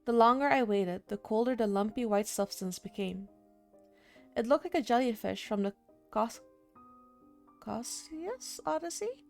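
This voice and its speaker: Woman streamer, slightly creaky voice over music. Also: on the high side, lively intonation, measured pace, long pauses. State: slightly under the weather. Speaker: streamer playing a horror visual novel. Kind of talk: casual let's-play commentary, reading game text aloud.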